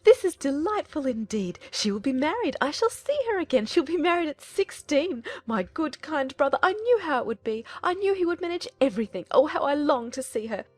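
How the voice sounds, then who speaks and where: A woman voicing an excitable, flighty middle-aged mother in an audiobook, gushing and exclaiming in a fluttery way. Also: sits high, fairly quick, hardly any pauses, soft background music, pitched above the performer's own voice. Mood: overjoyed. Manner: effusive, fluttery, breathlessly exclaiming. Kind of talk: dramatized audiobook character voice.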